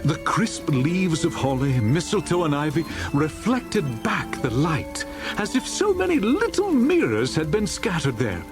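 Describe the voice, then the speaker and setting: A man narrating a classic story as a dramatized audiobook, in a theatrical, expressive storytelling manner. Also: a little high. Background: music.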